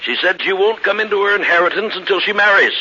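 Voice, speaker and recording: An actor playing a genial, teasing old uncle in a 1940s radio drama, hearty and avuncular. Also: male voice, high voice, quick pace, pushed and bright. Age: older. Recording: muffled, hissy 1940s radio recording.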